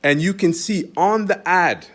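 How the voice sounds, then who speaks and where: Canadian man, teacher type, emphatic monologue, keeps asking "you understand?", voice sits a bit high. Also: unhurried, fairly bright tone. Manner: emphatic, repetitive, rhetorical questions. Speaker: Islamic teacher. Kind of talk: direct-address monologue to followers.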